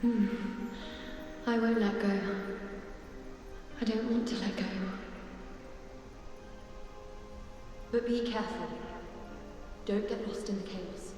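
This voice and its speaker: Actress as solemn wistful game heroine, formal poetic delivery, heavy cavernous reverb, background music. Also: female voice, medium pitch, steady tone, close to an everyday voice. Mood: tender resolve. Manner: measured, formal, poetic phrasing. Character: solemn, wistful woman speaking of fate and parting. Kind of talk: dramatic video game voice acting.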